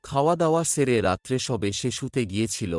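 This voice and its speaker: A man narrating a scripted horror story as a storyteller, in a dramatic, measured delivery. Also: medium pitch, lively intonation, dry recording. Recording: music under the voice. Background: background music.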